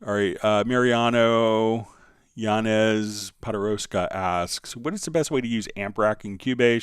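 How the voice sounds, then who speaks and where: A man hosting a live software tutorial, answering viewer questions casually and thinking aloud in a halting way as he demonstrates. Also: lowish voice, clear, resonant tone, dry recording, studio quality.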